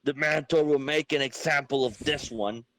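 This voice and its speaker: A man's voice: medium pitch, moderate pace, fairly bright tone, slight background noise, natural voice, low-quality recording. A man voicing a solemn, pompous cult leader who gives grandiose archaic commands in an amateur comic-book reading. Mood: stern, solemn.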